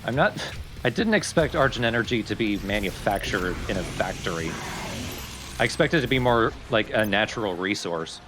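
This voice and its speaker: A man's voice: lowish voice, lively intonation, moderate pace, background noise. A man making casual remarks as co-commentator on a let's play.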